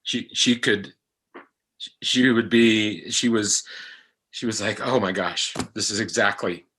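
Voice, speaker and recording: A man talking reflectively in a discussion, earnest and often pausing to search for his words. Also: fairly low pitch, fairly even intonation, sharp tone, a little hiss or hum. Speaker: podcast actor, guest. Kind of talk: reflective discussion of a podcast episode.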